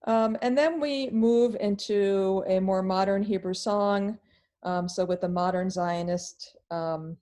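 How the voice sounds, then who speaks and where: A woman who heads a middle school, presenting plainly on a video call with some ums. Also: on the low side. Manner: plain, steady, explanatory, um fillers.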